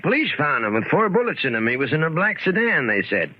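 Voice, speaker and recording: This is a muffled 1940s radio mystery recording. a cautious, easily spooked man, stammering and jittery. His voice is rather high and husky, and it swings widely in pitch. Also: very animated, fast talker, no echo. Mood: grim.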